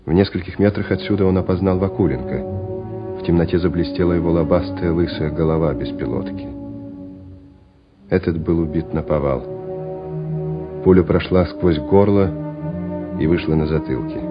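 Low-voiced male war story narrator. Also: normal speed, pauses often. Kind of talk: dramatic scripted narration of war radio play. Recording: dull radio recording with music behind.

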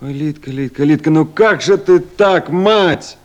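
An actor playing a busy, caring duty officer, brisk and informal, in a 1970s Soviet radio play. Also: male voice, fairly high pitch, lightly acted. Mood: tender, worried.

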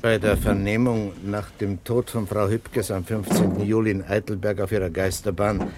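An actor playing a stern, sceptical police inspector in a 1960s German radio crime drama, questioning in a dry, sarcastic way. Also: male voice, on the low side, little pitch movement, normal speed, very noisy, close to an everyday voice. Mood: stern, pressing.

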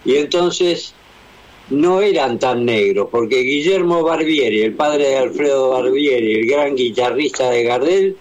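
A man's voice: medium pitch, lively intonation, a little slow. A man with an Argentine accent chatting casually on the radio and telling anecdotes. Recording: dull radio broadcast sound.

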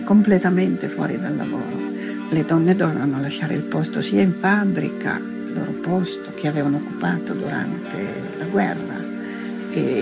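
An older woman giving reflective documentary testimony as a former partisan, recalling things in a halting, deliberate way with a gravelly voice. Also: low voice, low-quality recording. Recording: loud music under the interview. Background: loud music.

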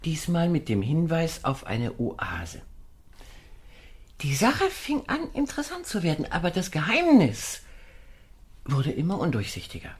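An actress playing an archaeologist's wife in a German radio drama, explaining in a deliberate, thoughtful way. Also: female voice, sits low, huge pitch swings, a little mellow, slightly rough, full character voice. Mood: absorbed, recollecting.

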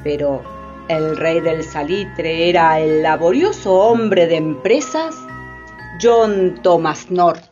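A woman's voice: deep voice, takes it slowly, mellow. A woman giving scripted, dramatic narration for a radio play. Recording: dull sound, faint music behind.

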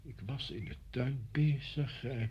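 An actor in a radio play playing a flustered, easily indignant schoolmaster at home, hesitant and blustering. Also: male voice, mid-range voice, expressive, measured pace, a little hiss or hum, lightly acted. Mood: affable, uneasy. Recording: slightly dull old radio recording.

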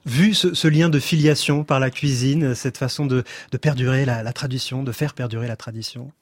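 A man hosting a radio talk show, asking guests calm questions and wrapping up segments. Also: medium pitch, moderate pace, no echo. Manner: calm, leading questions with brief acknowledgments. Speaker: radio host. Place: radio studio. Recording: dry studio sound.

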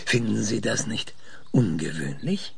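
An actor playing an eccentric, suspicious older scientist in a radio drama, grumbling and hesitant yet insistent, like a lecturing professor. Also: male voice, fairly low pitch, animated, measured pace, exaggerated voice. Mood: probing. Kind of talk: naturalistic German radio drama dialogue. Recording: clearly noisy, birdsong behind.

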